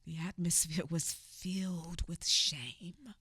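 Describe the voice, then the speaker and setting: A woman playing a slow, breathy remembering woman. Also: lowish voice, steady tone, sharp tone, dry recording, full character voice. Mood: resolute. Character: grown woman recalling a hard childhood, resolute. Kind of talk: radio theater acting.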